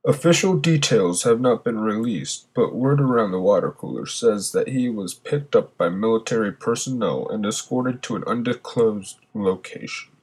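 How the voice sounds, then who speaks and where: A man playing a matter-of-fact local TV news reporter with a husky voice and formal delivery in an audio drama. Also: fairly low pitch, expressive, moderate pace, few pauses, a little mellow, rough recording.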